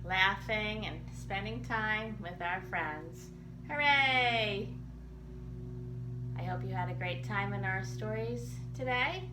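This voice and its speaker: A woman reading aloud as a children's library storyteller, expressive and animated, in simple child-directed language. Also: mid-range voice, slow pace. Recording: roomy echo with background noise.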